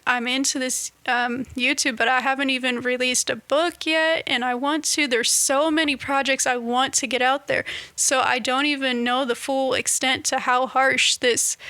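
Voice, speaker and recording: A woman in her twenties talking to camera in a casual, reflective monologue, halting and restarting as she searches for words. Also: high-pitched, sharp tone, no echo. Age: 20s. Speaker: vlogger.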